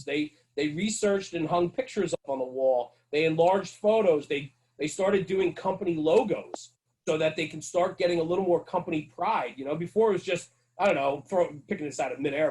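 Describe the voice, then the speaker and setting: A man's voice: on the high side, brisk, a little mellow, rough recording. A man speaking as a podcast guest, telling stories conversationally in an earnest, emphatic way with rhetorical check-ins. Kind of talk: conversational storytelling about firehouse culture.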